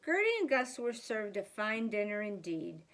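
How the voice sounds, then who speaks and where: A woman reading a children's picture book aloud as a storyteller, deliberately and with theatrical emphasis. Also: mid-range voice, big pitch swings, fairly bright tone.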